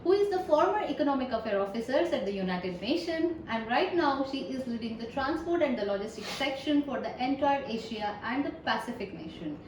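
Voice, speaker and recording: A woman hosting an interview, friendly and quick with affirmations. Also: high-pitched, expressive, roomy sound, far from the mic, slight background noise, rough recording. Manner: friendly, frequent backchannel affirmations. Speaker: host, interviewer.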